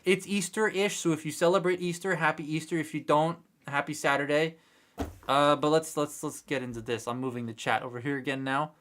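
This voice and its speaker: A man's voice: a little high, steady tone, fairly quick, fairly bright tone, a little hiss or hum. A man hosting a casual toy livestream, chatting to viewers in a rambling way with many fillers, still a little congested from a cold. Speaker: toy review livestream host. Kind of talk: casual live chat while building a kit. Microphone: desk mic close by.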